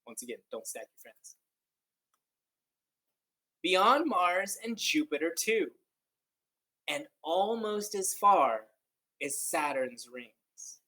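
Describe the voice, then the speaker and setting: A man reading a children's book aloud like a teacher, expressive and playful, drawing out key words and dropping in casual joking asides. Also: fairly high pitch, slow pace, pauses often, poor audio. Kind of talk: children's storybook read-aloud with casual commentary.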